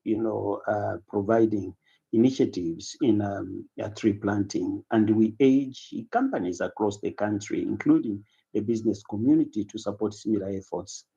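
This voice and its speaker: A man giving a halting, formal statement in a lo-fi news clip. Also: on the low side, gravelly, dark tone, husky.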